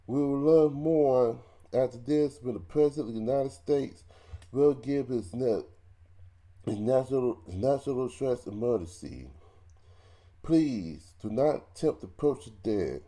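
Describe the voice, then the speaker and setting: Guy doing an asmr emergency broadcast, really mellow dark voice, stumbles and repeats himself. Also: mid-range voice. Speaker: emergency broadcast announcer in a homemade roleplay.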